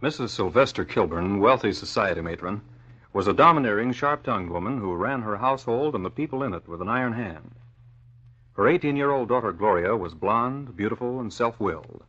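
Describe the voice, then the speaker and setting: A man's voice: lowish voice, animated, a little fast, fairly bright tone, breathy edge, dull audio. Dramatic male old-time radio announcer. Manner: dramatic, declamatory. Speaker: announcer and narrator. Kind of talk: 1940s radio scripted narration. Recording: vintage radio recording with faint noise.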